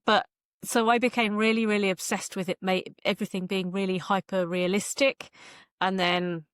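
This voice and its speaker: A woman's voice: medium pitch, level tone, normal speed, a bit bright, clean tone, no room sound, studio quality. A woman giving a casual interview as a guest author, talking in a hesitant, self-interrupting way.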